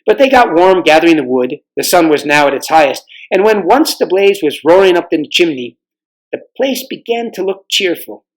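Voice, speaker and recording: Higher-pitched man reading a story aloud. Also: expressive, normal speed, rough recording. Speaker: volunteer storybook reader. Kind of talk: read-aloud storybook narration.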